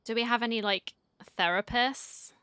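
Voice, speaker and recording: A woman's voice: a little high, steady tone, moderate pace, pushed and bright, clear, resonant tone, dry recording, studio quality. A woman streamer giving casual, sympathetic commentary as she reacts to a game.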